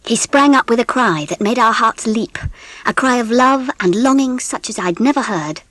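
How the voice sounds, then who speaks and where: A woman playing a gentle English mother, narrating a dramatised children's audiobook expressively. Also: fairly high pitch, moderate pace, dry recording. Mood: tender. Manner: expressive storytelling.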